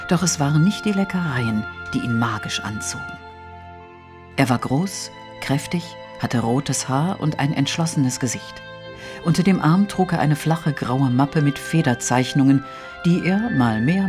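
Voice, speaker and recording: A man narrating a literary audio drama in a measured storytelling style. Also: mid-range voice. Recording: music under the voice. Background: music.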